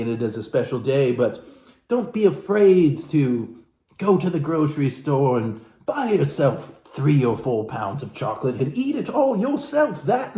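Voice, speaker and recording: A man from Alaska giving a comedic, theatrical book reading as host, florid and mock-genteel, with dramatic pauses and asides to his viewers. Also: medium pitch, mellow. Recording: roomy, echoey room sound.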